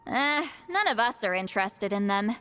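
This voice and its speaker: A woman playing a proud, sassy young spirit seer in a game cutscene, brash, teasing and expressive. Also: medium pitch, moderate pace, clean tone, lightly acted. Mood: confident, explaining. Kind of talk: animated video game cutscene acting. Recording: long echoing reverb, music underneath.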